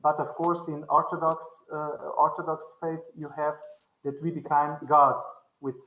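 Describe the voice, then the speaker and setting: A man whose first language is Slovenian speaks in a slightly high voice, with a hesitant academic manner full of restarts and pauses. The sound carries a roomy echo, as in a conference hall. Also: little pitch movement, dull audio, very noisy. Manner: halting, hesitant, many restarts. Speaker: conference panelist. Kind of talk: academic discussion. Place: conference session.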